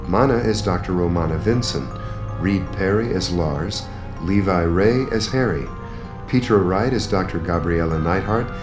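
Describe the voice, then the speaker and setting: A man reading scripted credits as the announcer of a radio play. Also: deep voice. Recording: roomy, echoing announcer track. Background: guitar theme music.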